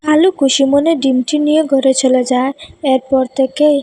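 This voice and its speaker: A woman's voice: high-pitched, flat delivery, moderate pace, dark tone, soft background music. A woman reading scripted narration for a children's story.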